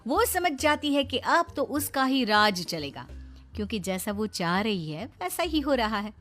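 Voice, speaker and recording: A woman's voice: a little high, very animated, measured pace, fairly bright tone, faint music behind. A woman narrating a cartoon story in a wry, knowing way.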